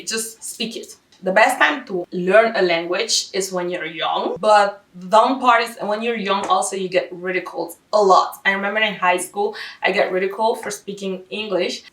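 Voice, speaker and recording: A woman's voice: mid-range voice, distant mic. Chatty woman in an echoey room. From Curaçao. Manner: chatty, laughs often, animated asides. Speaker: vlogger. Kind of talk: casual language-learning tips vlog.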